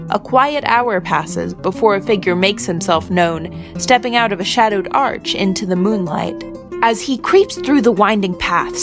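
A woman giving a measured, suspenseful, scripted narration of a dramatic story. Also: medium pitch. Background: plucked guitar music.

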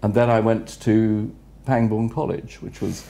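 An older man, a retired headmaster, answering interview questions in a measured, reflective way with frequent pauses, recorded on a lapel mic in an office room. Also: lowish voice, level tone, gravelly, dark tone. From England. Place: office room with city view window.

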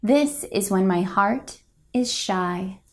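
A young woman reading a children's picture book aloud in a gentle, expressive, deliberate storytelling manner. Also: mid-range voice, a little hiss or hum. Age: young adult. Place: indoors, a home room.

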